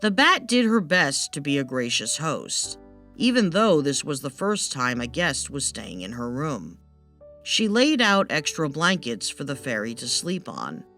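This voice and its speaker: Woman reading a fairy tale, takes it slow, lots of up n down, music underneath. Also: sits low, big pitch swings, fairly bright tone. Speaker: storyteller, narrator. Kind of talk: scripted fairytale narration.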